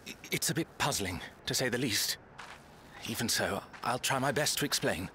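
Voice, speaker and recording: An actor playing a polite, solemn usher with formal, measured wording in a video game cutscene. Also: male voice, mid-range voice, expressive, bright, forceful tone, a little hiss or hum. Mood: puzzled, careful.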